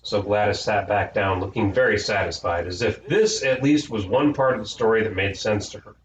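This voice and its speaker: A man's voice: lowish voice, normal speed. A man reading a storybook aloud in the role of narrator. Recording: echoey room, distant mic, noisy, dull.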